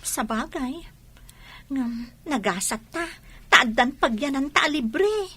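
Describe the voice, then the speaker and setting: A woman's voice: sits high, lively intonation, takes it slowly, sharp tone, full character voice. A woman with an Ilocano accent playing a carefree young companion in a radio drama. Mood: upbeat, teasing.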